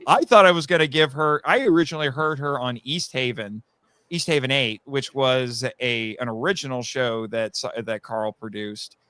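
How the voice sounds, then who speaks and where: A man talking casually as a guest about his writing, telling stories in an animated way and acting out quotes. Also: mid-range voice, normal speed, clean tone, no echo. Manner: animated storyteller, acts out quotes. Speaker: guest, audio drama writer. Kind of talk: casual chat.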